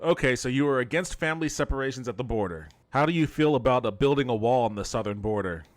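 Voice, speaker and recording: A man's voice: medium pitch, animated, fast talker, no echo. A man doing casual gameplay commentary as a streamer, talking in an amused, incredulous, sweary way. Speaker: video game streamer and podcast host.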